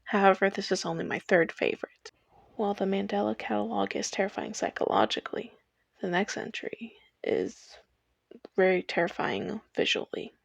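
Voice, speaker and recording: A woman's voice: mid-range voice, lively intonation, normal speed, gravelly, dry recording. A young adult woman narrating a scripted personal video essay.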